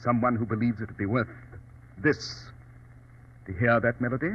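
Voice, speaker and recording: An actor playing a cool, commanding English gentleman detective in an old radio drama, speaking in a clipped, composed way. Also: mid-range voice, fairly even intonation, moderate pace, lightly acted. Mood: smooth, persuasive. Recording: dull, noisy old radio recording.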